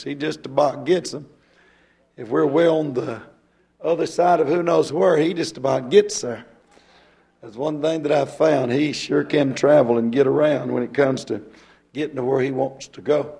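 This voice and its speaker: A hoarse male pastor, talking folksy and rambling, then reading scripture in a rising preaching cadence. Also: fairly high pitch, warm tone.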